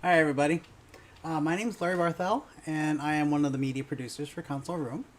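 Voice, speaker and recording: A man's voice: on the high side, fast talker. A man casually introducing a question session as media producer and host, with a slightly distant microphone sound.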